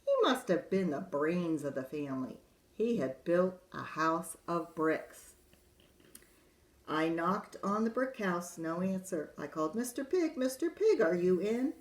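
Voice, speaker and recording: A woman's voice: on the low side, lively intonation, measured pace. A woman voicing a sly, self-pitying male wolf who tells his side in a chatty, confiding way, in a roomy space. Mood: protesting innocence. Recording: roomy echo.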